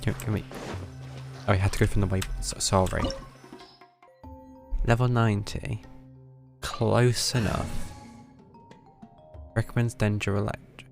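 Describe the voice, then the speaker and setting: A man's voice: lowish voice, steady tone, unhurried, gravelly, pauses often. A man livestreaming a game with casual, offhand commentary in short muttered asides. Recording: game music behind.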